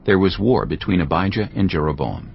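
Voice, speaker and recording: Deep, expressive male Bible narrator. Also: a little fast, vocal fry, highs cut off, a little hiss or hum. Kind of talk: scripted dramatized Bible narration.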